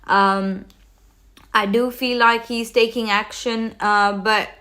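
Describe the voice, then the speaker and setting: A woman giving a live tarot reading at an unhurried, slow pace. She rambles, restarts her sentences and leans on fillers, with a cold starting to catch her voice. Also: on the high side, fairly even intonation, bright, forceful tone. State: coming down with a cold. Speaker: tarot reader hosting a livestream.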